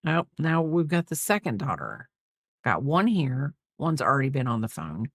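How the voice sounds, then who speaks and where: A woman's voice: rumbling low, sing-song, normal speed, breathy edge, no echo, studio quality. A middle-aged woman chatting casually as a crochet host, in a rambling way, trailing off and repeating herself. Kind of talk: casual chat while crocheting, counting stitches aloud.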